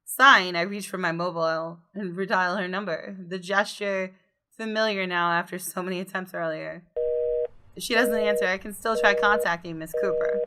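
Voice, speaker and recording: Bright-voiced woman reading a game aloud. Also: lowish voice, fairly even intonation, moderate pace. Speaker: gaming host reading the story aloud. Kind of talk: expressive read-aloud narration with casual commentary. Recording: faint game music behind. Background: soft game music.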